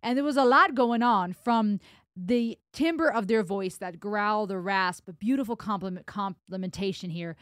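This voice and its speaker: A woman in her thirties from Germany, with German as her first language, giving an animated music reaction on a boom mic in a home studio. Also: moderate pace, dry recording. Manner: animated, gestures while talking, reads lyrics aloud. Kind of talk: casual music reaction commentary. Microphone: broadcast mic on boom arm, headphones.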